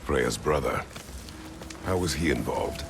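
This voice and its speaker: Battle-worn fighter, very deep, gruff, clipped, game character, music behind. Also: male voice, animated, unhurried, exaggerated voice. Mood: stern, questioning. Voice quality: gravelly. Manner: terse, formal, guarded. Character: stern, gruff, taciturn warrior father, strapping and battle-hardened. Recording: music under the voice.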